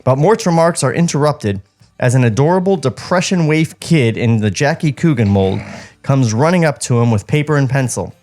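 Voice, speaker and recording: A man with a medium-pitched voice reads a screenplay's stage directions as a podcast co-host. He slips in sarcastic asides, with faint music behind him. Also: expressive, moderate pace, a little mellow. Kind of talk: script narration with sarcastic asides.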